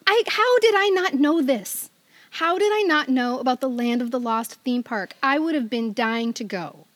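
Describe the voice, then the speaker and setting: A woman's voice: high voice, expressive, moderate pace, dry recording. A middle-aged woman co-hosting a podcast in casual chat, playful and self-deprecating. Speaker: podcast co-host.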